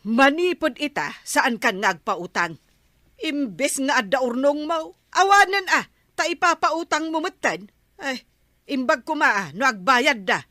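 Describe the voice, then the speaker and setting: Exasperated village wife, Ilocano accent, highish voice, drawn-out scolding, tight with money, radio comedy. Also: female voice, lively intonation, sharp tone, dry recording, studio quality, exaggerated voice. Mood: nagging. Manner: scolding, insistent. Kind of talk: comedy radio drama acting.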